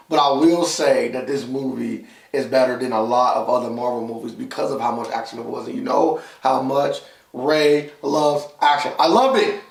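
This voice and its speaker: A man's voice: mid-range voice, creaky. A man giving a casual, opinionated film review as co-host, speaking emphatically and repeating phrases for stress. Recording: roomy echo, distant from mic.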